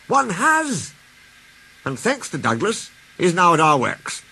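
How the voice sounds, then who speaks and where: A man voicing an earnest, excitable Scottish steam engine from a children's storybook, blurting things out in dialect. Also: a little high, slow pace, vocal fry, husky, pitched above the performer's own voice, exaggerated voice. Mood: excited.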